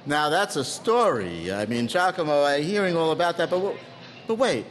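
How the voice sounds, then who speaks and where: A man's voice: a little high, very animated, moderate pace, faint music behind. A man playing a chatty, inquisitive diner talking with friends in a conversational radio play. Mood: curious. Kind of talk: full-cast radio theatre, conversational.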